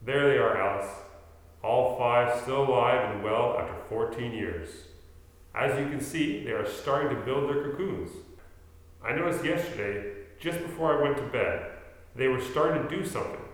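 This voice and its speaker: A man acts a caring friend in his late fifties in a radio play, speaking at a middling pitch. The room is hugely echoey, with long reverb. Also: moderate pace, close to an everyday voice. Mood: quietly proud. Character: caring 58-year-old man visiting his dying friend. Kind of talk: stage-style radio play acting.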